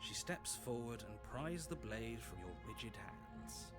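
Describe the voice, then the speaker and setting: A man playing a stern, controlling narrator in a visual novel game, deliberate and theatrical, with music under him. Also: medium pitch, lively intonation, close to an everyday voice. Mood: stern, grave. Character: stern, controlling male narrator, exasperated and ominous. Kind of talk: dramatic second-person game narration.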